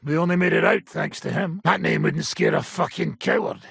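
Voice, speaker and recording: An actor playing a gruff, battle-worn old robber baron in a video game, raspy, blunt, coarse and sardonic. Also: male voice, a little high, brisk, a bit bright, dry recording, studio quality, heavily acted. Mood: wry, reminiscing. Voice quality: gravelly, very raspy. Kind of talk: video game character acting.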